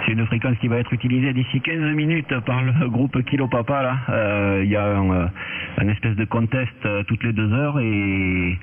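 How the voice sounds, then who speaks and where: Man on a tinny, noisy radio link. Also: mid-range voice. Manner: halting, repeats words. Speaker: amateur radio operator. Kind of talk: casual radio chat.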